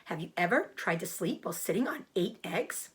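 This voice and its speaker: A woman's voice: lowish voice, expressive, brisk, breathy edge. A woman voicing a blunt, breezy mother goose from a storybook, who repeats and gabbles her syllables. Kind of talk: storybook character voice.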